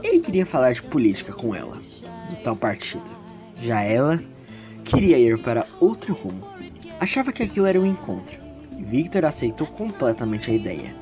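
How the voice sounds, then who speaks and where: A very low-voiced woman with a Brazilian accent narrates a story in a chatty, dramatic style. Her pitch moves around in a lively way, and music clearly plays behind her. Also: moderate pace. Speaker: narrator and character reader in an audio story.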